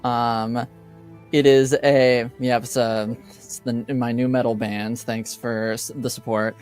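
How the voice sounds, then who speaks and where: A man speaking as a game master in a casual, halting way, pausing mid-sentence as he narrates. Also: mid-range voice, little pitch movement, darkish tone, faint music behind.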